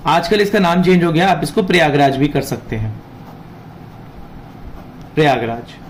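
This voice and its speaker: A man's voice: on the high side, expressive, moderate pace, a little hiss or hum, rough recording. A man with a sore throat, teaching an informal exam lesson, explaining and repeating key points. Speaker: law teacher running an online class. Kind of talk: informal explanatory exam lesson, Hindi mixed with English terms.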